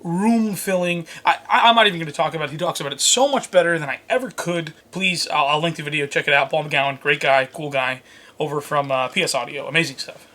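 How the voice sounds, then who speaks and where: A man's voice: on the high side, fast, bright, forceful tone. A man hosting a product review, mixing scripted lines with casual, jokey asides. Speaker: host and reviewer.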